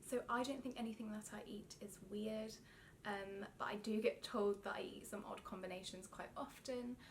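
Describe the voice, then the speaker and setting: A young woman from London speaks to camera in a casual, chatty self-interview. Her London English accent is clear, and she talks in a friendly, slightly awkward way, often pausing with ums. It is recorded indoors in a small room. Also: mid-range voice, steady tone, a little hiss or hum. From England. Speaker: knitting vlogger. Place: indoor room with yarn shelves.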